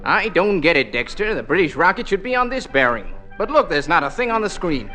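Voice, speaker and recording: High, animated, puzzled professor. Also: male voice, brisk, faint music behind, heavily acted. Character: puzzled, suggestible male scientist, a professor at a tracking station. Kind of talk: radio comedy acting.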